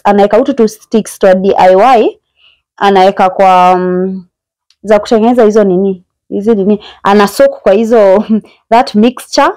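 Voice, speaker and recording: A woman's voice: medium pitch, a little mellow, dry recording, poor audio. A young woman with a Kenyan accent gives a chatty, admiring tour of a small room, recorded on a handheld phone. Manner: chatty and admiring, mixing English with Swahili slang. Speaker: vlogger giving a house tour. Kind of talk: casual house-tour narration. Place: small indoor bedsitter room.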